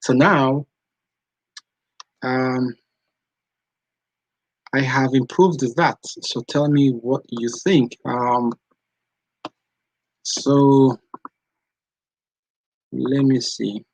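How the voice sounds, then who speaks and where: A man's voice: mid-range voice, steady tone, drawn-out words, pauses often, a little mellow, poor audio. A man from Rwanda, hosting a live stream, chatting casually and answering viewers' comments as they come in. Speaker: channel host.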